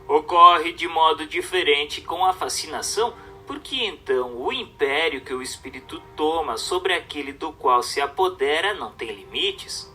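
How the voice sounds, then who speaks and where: A man with a Brazilian accent reading a religious treatise aloud as a narrator, in a formal, measured, didactic way. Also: on the high side, bright, forceful tone.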